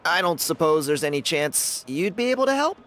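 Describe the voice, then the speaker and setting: A cocky young dancer. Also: male voice, on the high side, very animated, a little fast. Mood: friendly eager. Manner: breezy, laughs often, boastful. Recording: game dialogue with soft music behind.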